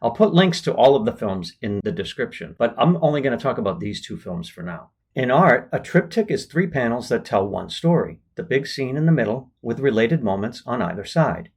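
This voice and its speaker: Husky man reviewing films, lively intonation. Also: mid-range voice, a little fast, few pauses. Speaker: vlog host and film festival worker. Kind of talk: film review narration to viewers.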